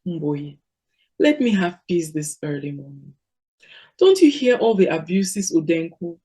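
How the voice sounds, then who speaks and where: A woman reading aloud, voicing a stern older husband who commands his quarrelling wives to be quiet. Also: on the low side, big pitch swings, unhurried, breathy edge, full character voice. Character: gruff older husband, weary head of compound.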